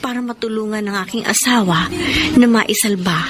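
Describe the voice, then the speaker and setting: An actress with a Filipino accent playing a devoted, worried wife in a radio drama. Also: female voice, medium pitch, moderate pace, lightly acted. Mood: sorrowful narration. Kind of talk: radio drama acting and narration. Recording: loud music under the voice.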